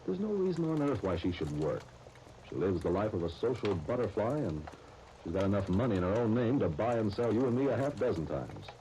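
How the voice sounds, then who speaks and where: An actor playing a blunt, grudging young shop partner in a 1930s radio soap opera, wry and grumbling, with hesitant starts. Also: male voice, fairly low pitch, big pitch swings, brisk, dark tone. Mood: irritated, disdainful. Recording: muffled, hissy 1939 radio broadcast recording.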